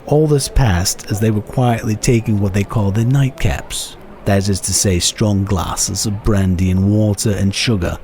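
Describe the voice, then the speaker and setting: A man giving a measured, theatrical dramatic reading of a classic short story as its narrator. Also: fairly low pitch, fairly even intonation, a little mellow, a little hiss or hum. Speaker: narrator, reader.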